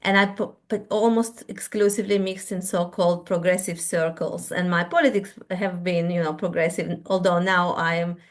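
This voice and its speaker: A woman's voice: lowish voice, fairly even intonation, moderate pace, hardly any pauses. Israeli-accented woman in a thoughtful panel talk. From born in Russia, raised in Israel. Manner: thoughtful, restarts often. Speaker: author, panel guest. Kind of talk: panel discussion.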